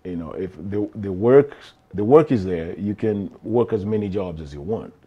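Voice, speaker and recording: A middle-aged man from Kenya, an actor telling his story as an interview guest, relaxed and chuckling, with frequent restarts. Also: on the low side, normal speed, husky, lo-fi sound. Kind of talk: casual interview chat.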